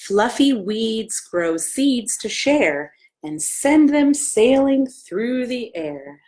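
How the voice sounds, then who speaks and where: An American woman, a pastor, reading a children's story aloud with gentle, simple asides and questions for young listeners. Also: on the high side, very animated, extremely slow. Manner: gentle, simple questions addressed to small children, often hushed.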